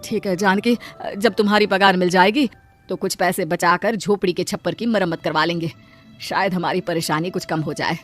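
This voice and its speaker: A woman's voice: lowish voice, fairly even intonation, normal speed, soft background music, close to an everyday voice. A woman voicing a poor male laborer, an earnest, protective husband in a cartoon. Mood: worried. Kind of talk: Hindi cartoon voice acting.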